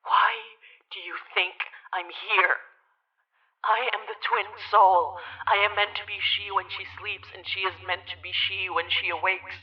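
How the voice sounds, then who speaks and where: A woman's voice: medium pitch, fairly even intonation, slightly rough. A woman playing an eerie, solemn twin spirit who gives dire warnings in a horror audio drama, her voice filtered like a radio. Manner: solemn, deliberate, ominous. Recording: dull, band-limited radio-like sound.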